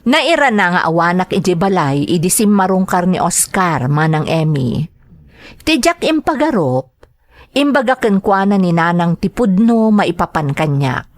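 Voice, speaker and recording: Young single mother, Ilocano accent, fiery and emphatic, very animated, radio drama. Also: on the low side, no room sound, clean studio sound. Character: defiant, hot-tempered young single mother. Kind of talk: Filipino radio drama acting.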